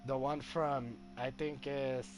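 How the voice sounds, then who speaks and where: A man doing casual commentary on a video game playthrough, halting and thinking aloud as he goes. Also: medium pitch, steady tone, a little hiss or hum. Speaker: gamer streaming playthrough. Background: game music.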